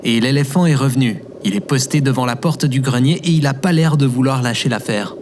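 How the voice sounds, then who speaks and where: A man's voice: mid-range voice, brisk, darkish tone, slight background noise, lightly acted. An actor, a man, playing a wry, grumbling companion in an audio drama, casual and sarcastic.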